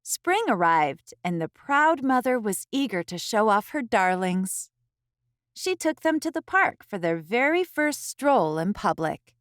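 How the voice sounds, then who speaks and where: Woman storyteller, children's read-aloud, unhurried pace, expressive pauses, careful phrasing, dry close sound. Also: mid-range voice, no echo.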